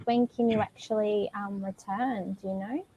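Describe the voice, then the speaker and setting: A woman's voice: medium pitch, fairly even intonation, moderate pace, warm tone. A woman tutoring English over a video call, asking simple, encouraging questions. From Australia. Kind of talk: online language lesson conversation. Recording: muffled video call with faint noise.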